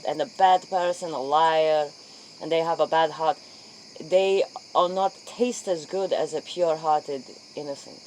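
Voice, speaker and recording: A woman's voice: sits low, fairly even intonation, unhurried, darkish tone, slight background noise, rough recording. A woman playing an earnest, curious interview host, rambling in broken English in a spoof late-night show. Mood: probing, earnest.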